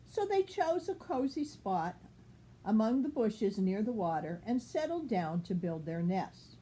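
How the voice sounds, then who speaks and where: A woman's voice: mid-range voice, sing-song, a little slow, dark tone, rough recording. An older woman reading a picture book aloud as a grandmother, explaining things simply for a child with casual asides.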